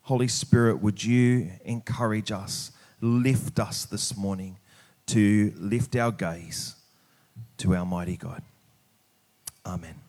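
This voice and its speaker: A man's voice: fairly low pitch, steady tone, slow, darkish tone. Australian man preaching in a conversational way. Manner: conversational, informal, plain-spoken. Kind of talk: church sermon with prayer, scripture reading and casual anecdotes.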